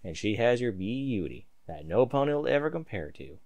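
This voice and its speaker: Man acting a protective stallion farmer with animated delivery. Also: medium pitch, normal speed, dull audio. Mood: loving. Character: hard-working, protective stallion farmer and devoted husband.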